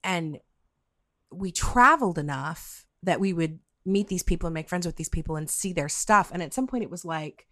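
A middle-aged woman interviewing in a casual, conversational way, halting and repeating words as she thinks aloud. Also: lowish voice, fairly bright tone, no echo, clean studio sound. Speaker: podcast interviewer.

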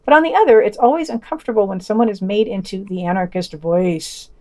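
A woman's voice: medium pitch, quick pace, a little mellow. A woman presenting a podcast, reading scripted reviews with wry, informal, opinionated asides.

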